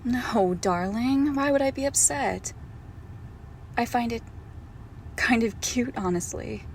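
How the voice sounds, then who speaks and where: Doting, possessive monster girlfriend played by a woman, intimate audio roleplay: hushed, unhurried, gravelly creak. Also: on the high side, a little hiss or hum. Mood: soothing. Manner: slow, hushed, tender, often dropping to a whisper.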